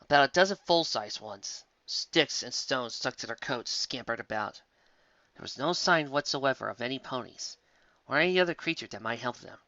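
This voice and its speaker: A man reading a story aloud in an expressive, animated storybook manner. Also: mid-range voice, moderate pace, no echo. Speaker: audiobook reader. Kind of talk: storybook narration. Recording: dull lo-fi dry recording.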